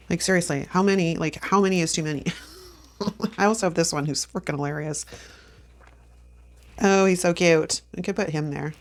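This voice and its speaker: A low-pitched woman in her fifties with a gravelly edge, chatting to herself as she works through a planner spread. She sounds a little sniffly from allergies and breaks into easy laughs. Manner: chatty, self-talking while crafting, laughs often. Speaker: planner vlogger. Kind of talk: casual plan-with-me chat.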